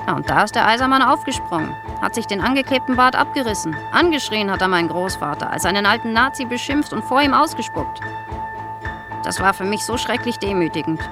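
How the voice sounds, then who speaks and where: A woman's voice: mid-range voice, animated, a little fast, music in the background, lightly acted. An actress playing an older East German woman in a radio drama, recollecting in a chatty, self-justifying, insistent way. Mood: aggrieved. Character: older East German woman, wounded, defensive photographer's granddaughter.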